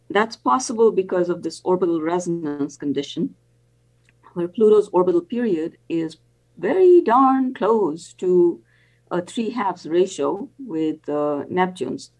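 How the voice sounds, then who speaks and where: An older woman speaks in a fairly low voice as an expert interview guest on a video call. She explains carefully and haltingly, pausing often as she builds each answer. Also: darkish tone, poor audio. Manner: halting, careful, frequent pauses. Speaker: interview guest, professor and planetary scientist.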